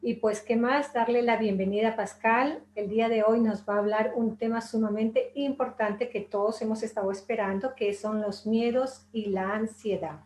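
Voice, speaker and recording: A woman's voice: a little high, steady tone, moderate pace, few pauses, a little mellow. A woman hosting a webinar, introducing her guest in a chatty way with many fillers. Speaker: host, hypnotherapist. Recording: echoey room, faint noise.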